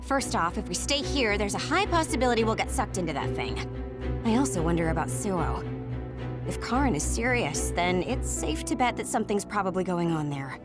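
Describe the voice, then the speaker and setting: An actress playing a calm, analytical young squad member in a video game cutscene. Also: female voice, mid-range voice, a little fast, natural voice. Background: loud music.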